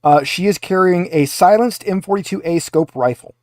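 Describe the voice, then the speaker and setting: A man's voice: high voice, normal speed, a little mellow, dry recording, clean studio sound. A man acting as game master, narrating a tabletop roleplaying game.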